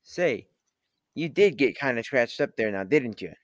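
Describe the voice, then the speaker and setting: A man's voice: mid-range voice, lively intonation, a little slow, no echo, clean studio sound, natural voice. A young man playing a blustering, folksy, good-hearted rocket pilot in a classic radio serial. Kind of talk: classic radio drama acting.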